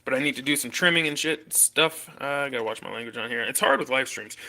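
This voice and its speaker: A man with a stuffy, congested voice hosts a casual morning livestream from his workshop. His tone is very bright and sharp, sitting at an ordinary middle pitch. He rambles easily, full of fillers and slang. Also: animated, quick pace. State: congested and coughing. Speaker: host of an RC hobby livestream. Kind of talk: casual livestream chat while showing a build. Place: home workshop or garage.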